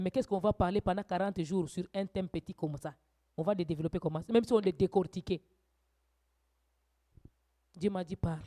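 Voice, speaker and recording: A woman preacher teaching a church sermon, swinging from quiet asides to loud, urgent exhortations. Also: mid-range voice.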